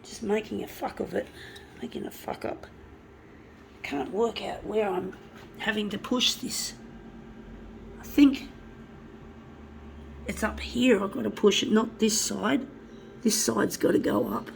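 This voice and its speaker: A woman, hoarse from a flu with a blocked nose, chatting and swearing freely as she works. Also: medium pitch, a little slow, long pauses, slight background noise. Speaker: artist. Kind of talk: casual talk while working.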